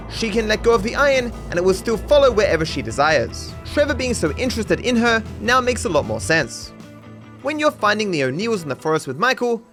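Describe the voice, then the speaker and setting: A man with a high, very lively voice narrates a scripted comedic video about a game. His delivery is sardonic and mock-dramatic, with faint music playing underneath. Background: background music.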